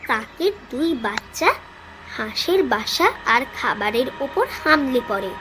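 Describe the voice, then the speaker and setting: A woman's voice: on the high side, expressive, unhurried, music in the background. A woman narrating a scripted children's cartoon story.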